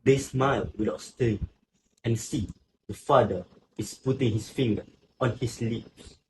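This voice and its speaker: A man's voice: medium pitch, measured pace, natural voice. A man playing a grave, watchful witness in a literary stage play. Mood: quiet, watchful. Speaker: student actor. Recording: faint background noise.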